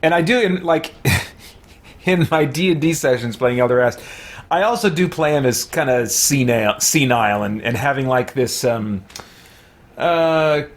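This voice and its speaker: A man's voice: medium pitch, measured pace. A man giving casual, hesitant let's-play commentary, musing aloud as he plays. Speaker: video game player and commentator.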